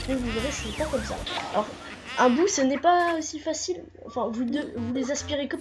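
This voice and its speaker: A high-voiced woman talks through a game walkthrough, her nose blocked by a cold so every word comes out nasal. She stumbles and restarts often, filling gaps with hesitant sounds, while faint game music plays underneath. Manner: hesitant, many fillers and restarts. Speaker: gameplay commentator. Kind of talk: casual walkthrough commentary.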